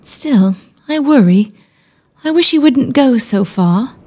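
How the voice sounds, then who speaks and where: A woman playing a fretful, fearful Victorian gentlewoman and mother in a period audio drama, with old-fashioned phrasing. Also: high-pitched, lively intonation, slow, exaggerated voice. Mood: worried.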